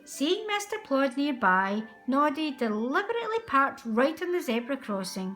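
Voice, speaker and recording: A woman narrating a children's storybook aloud in an expressive, deliberate way. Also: sits high, soft background music.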